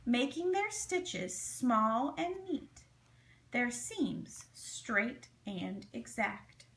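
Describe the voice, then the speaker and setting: A woman reading a children's story aloud in an expressive, deliberate, dramatic way. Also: fairly high pitch, slight background noise. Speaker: storybook reader.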